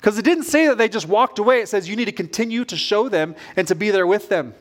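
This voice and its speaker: A man's voice: sits high, expressive, quick pace. A man in his forties preaching a sermon as a pastor in an informal, conversational storytelling manner. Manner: informal, self-questioning storytelling.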